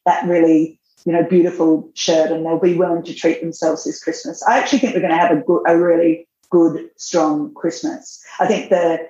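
A woman's voice: on the low side, fairly even intonation, normal speed, mellow. A middle-aged woman, a magazine editor, talking casually in a podcast interview, laughing often and restarting her sentences. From Australia. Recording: roomy, dull remote audio.

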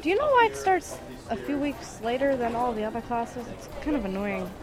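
High-pitched, moody young college woman, acted by a woman. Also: animated, fairly quick, natural voice. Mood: polite, awkward. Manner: sarcastic, blunt, slangy. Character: Hope, moody, foul-mouthed, lonely young college woman. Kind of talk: amateur audio drama acting.

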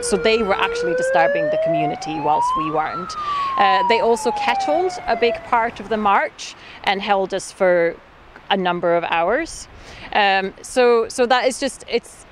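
A woman's voice: on the high side, huge pitch swings, normal speed. A woman organiser explaining a protest in an earnest way, with many ums and restarts, interviewed outdoors. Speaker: activist and camp organiser. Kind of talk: interview answers. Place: outdoors at a camp. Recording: faint outdoor noise.

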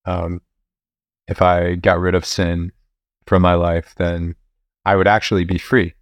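A young man, a priest, telling his story in a relaxed interview, with fillers and restarts. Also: sits low, measured pace, no room sound, clean studio sound. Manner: relaxed, many fillers and restarts, occasional laughs. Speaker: priest and university chaplain.

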